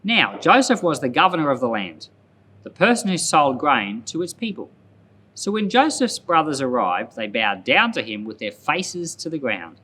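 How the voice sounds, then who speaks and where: A man reading scripture aloud as a pastor, narrating in a deliberate, storytelling manner. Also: a little high, sing-song, pushed and bright.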